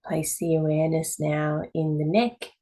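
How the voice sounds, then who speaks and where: Female yoga instructor, slightly low pitch, calm and measured guided-meditation delivery with pauses. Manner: calm, measured, with pauses between short phrases. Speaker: yoga teacher.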